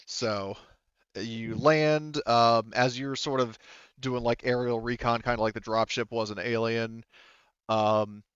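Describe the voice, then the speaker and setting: A man narrating a tabletop roleplaying game as game master, in a halting, conversational way with asides. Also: medium pitch, fairly even intonation, fairly bright tone, clean tone, no room sound, dull audio.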